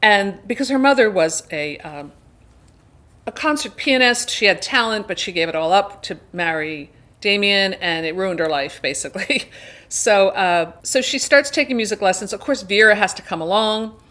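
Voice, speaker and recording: A woman's voice: medium pitch, animated, moderate pace, a bit bright. A woman hosts a casual book discussion in a mid-range voice. She retells the story in a chatty, wryly amused way, putting emphasis on the words that matter. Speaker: book reviewer and video host.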